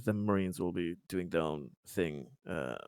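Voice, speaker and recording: A man's voice: low-pitched, moderate pace, darkish tone, smooth, dry recording, studio quality. A man playing a worried colonist in a tabletop roleplay, speaking hesitantly and politely with stammers. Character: worried colonist uneasy about armed soldiers, eager to smooth things over. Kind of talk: in-character improvised tabletop roleplay.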